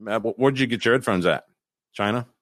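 A man's voice: medium pitch, a little fast, no echo, studio quality. A man, co-hosting a podcast in a casual, rambling way full of restarts. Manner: rambling, many restarts and fillers. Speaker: podcast co-host. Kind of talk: casual chat.

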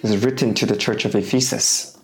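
Hoarse pastor with a cold, halting sermon. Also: male voice, on the low side, fairly even intonation, darkish tone. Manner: halting, with frequent restarts and fillers.

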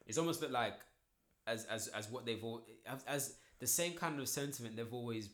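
A young man chatting casually on a podcast, quick and slangy, repeating his words. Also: medium pitch, fairly bright tone. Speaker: podcast co-host. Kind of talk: casual conversation. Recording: echoey room.

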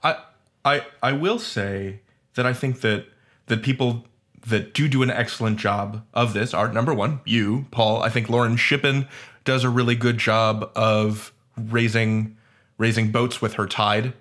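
A man's voice: mid-range voice, fairly even intonation, unhurried, fairly bright tone. A man who is both creator and critic, talking informally on a panel in a wry, self-deprecating way. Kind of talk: informal roundtable discussion.

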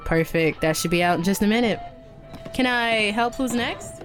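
Over music, an actress plays a young woman serving at a cafe counter. She is easygoing and chatty, with a medium-pitched voice and a natural, friendly audio drama delivery. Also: moderate pace, lightly acted. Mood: friendly, helpful. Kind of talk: naturalistic fiction podcast acting.

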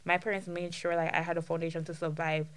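A young woman with a Jamaican accent, a student guest chatting casually on a podcast, animated and quick to joke. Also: lowish voice, clean tone. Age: young adult. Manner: animated, laughs and jokes, speeds up when excited. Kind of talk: casual chat.

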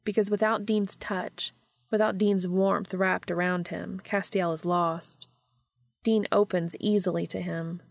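A woman's voice: lowish voice, gravelly, dry recording. A woman reading scripted fiction as an audiobook narrator.

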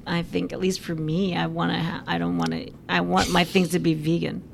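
A woman from the Philippines, raised in South Carolina, co-hosting a podcast with teasing quick questions. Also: lowish voice, level tone, creaky. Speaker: podcast co-host. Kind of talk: casual chat.

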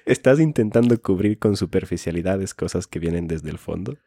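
A man's voice: sits low, animated, moderate pace, vocal fry, warm tone, no echo, clean studio sound. A man in his twenties from Finland, co-hosting a conversational podcast. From Finland, has lived in Ecuador. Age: 20s. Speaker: podcast co-host.

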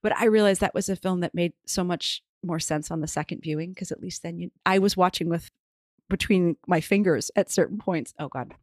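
A woman hosting a folklore podcast, interviewing her guest in an enthusiastic, thinking-aloud way that often climbs in pitch for emphasis. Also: brisk, darkish tone, no room sound, studio quality. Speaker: podcast host. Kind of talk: conversational interview.

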